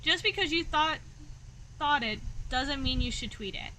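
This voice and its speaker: A woman's voice: high-pitched, lively intonation, unhurried, sharp tone, slight background noise, exaggerated voice, low-quality recording. A woman voicing a blunt, exasperated female vulture in a children's storybook. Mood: indignant. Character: bored, indignant female vulture, blunt best friend.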